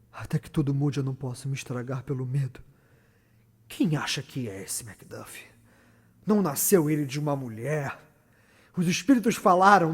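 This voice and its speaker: A haunted, guilt-ridden tyrant with a Brazilian accent and a high-pitched male voice. The delivery is theatrical and declamatory, a grand Shakespearean stage monologue. Every line rings in a long, echoing reverb. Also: darkish tone, slightly rough. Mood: grim, brooding. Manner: dramatic, declamatory, swinging from murmur to cry. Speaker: stage actor. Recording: long reverberant echo around the voice.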